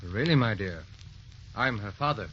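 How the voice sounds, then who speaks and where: A man's voice: on the low side, animated, moderate pace, fairly bright tone, a little hiss or hum. An actor playing a dignified, sentimental widowed father, formal and earnest, in old-time radio drama style. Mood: grave, kindly. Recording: dull old radio recording, music behind.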